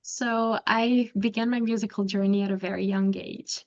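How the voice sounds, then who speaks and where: Soft-spoken young woman, careful answers. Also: medium pitch, fairly even intonation, normal speed, highs cut off. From Croatia. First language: Croatian. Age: young adult. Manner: soft-spoken, careful, with frequent ums and restarts. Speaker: interview guest, pianist. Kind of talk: interview answers.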